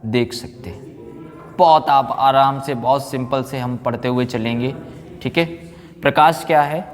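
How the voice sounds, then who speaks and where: A man's voice: mid-range voice, fairly even intonation, measured pace. Male teacher in echoey room. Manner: repeats phrases and asks rhetorical check questions; mixes in English technical terms. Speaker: science teacher. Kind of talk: classroom physics lecture for exam students. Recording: echoey room with long reverb.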